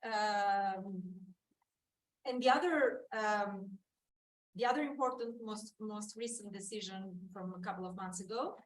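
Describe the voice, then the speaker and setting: Woman, academic panel talk, halting with fillers, far from mic, echoey. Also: medium pitch. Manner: hesitant, many fillers and restarts. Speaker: conference panel speaker. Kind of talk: academic presentation. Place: conference hall. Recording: roomy hall mic from far off with hiss.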